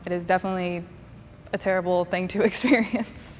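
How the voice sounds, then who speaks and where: A woman's voice: on the low side, steady tone, brisk, long reverb tail, a little hiss or hum. A nineteen-year-old woman, a pro gamer, speaking earnestly and laughing easily in a casual group discussion.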